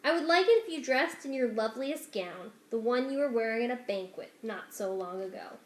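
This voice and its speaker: A woman's voice: a little high, expressive, brisk, close to an everyday voice. A woman voicing a menacing male villain rat in a storybook, falsely polite, formal, condescending and commanding. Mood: sinister politeness. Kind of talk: dramatic children's storybook reading. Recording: echoey room.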